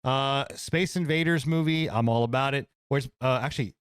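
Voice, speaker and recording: A man hosts a casual podcast in a dry, close-sounding recording. His pitch is average, and he talks in excitable slang with some swearing. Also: normal speed, smooth, dry recording. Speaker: podcast host.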